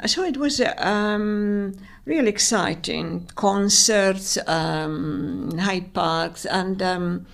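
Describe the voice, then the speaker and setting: Creaky-voiced woman. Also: mid-range voice, drawn-out words. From Italy. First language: Italian. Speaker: university lecturer. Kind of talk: interview answers.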